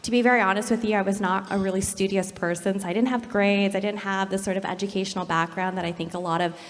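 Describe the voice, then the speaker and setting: A woman's voice: mid-range voice, fairly even intonation, quick pace. Candid woman on a panel in a hall. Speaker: panelist, gaming company executive. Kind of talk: panel discussion. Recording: long hall reverb.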